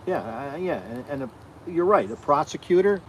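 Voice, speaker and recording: A man's voice: fairly high pitch, expressive, normal speed, slight background noise, low-quality recording. A man co-hosting a livestream in casual chat, hesitant and often repeating and restarting his words. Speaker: co-host.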